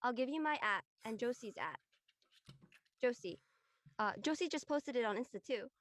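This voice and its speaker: A woman's voice: fairly high pitch, expressive, normal speed, lightly acted. A woman playing a chirpy, curious and friendly teenage girl in a casual audio drama. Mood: matter-of-fact. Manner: casual, inquisitive.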